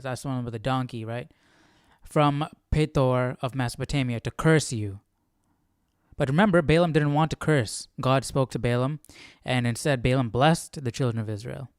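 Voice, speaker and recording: Casual male study host, lower-pitched and clear, reasoning out loud with frequent questions. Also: dry recording, studio quality. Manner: questioning, repeats and rephrases as he reasons. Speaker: livestream Bible study host. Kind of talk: casual thinking-aloud study commentary.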